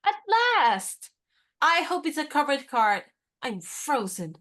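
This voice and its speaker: A woman's voice: high voice, very animated, slow pace, voice pushed up, exaggerated voice. A woman voicing a cheerful, chatty young woman in a radio play. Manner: chatty, bright, playful.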